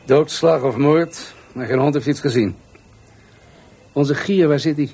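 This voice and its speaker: Husky, musing police commissioner. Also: male voice, fairly high pitch, a little slow, warm tone. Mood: pondering. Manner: musing, terse. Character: pondering, authoritative male police commissioner. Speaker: actor in a radio drama. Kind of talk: radio drama dialogue.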